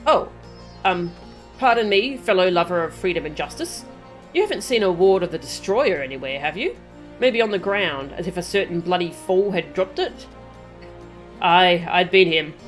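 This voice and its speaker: A woman's voice: fairly low pitch, animated, normal speed, faint music behind, close to an everyday voice. A woman voicing a flustered, blustery male resistance fighter in a game, agitated and emphatic. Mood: flustered, frustrated. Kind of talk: reading game dialogue in character.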